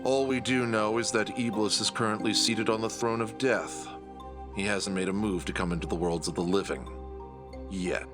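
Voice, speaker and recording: A man's voice: lowish voice, steady tone, moderate pace, over music, close to an everyday voice. A man playing a grave, wary adventurer who speaks dryly and ominously in a fantasy audio drama.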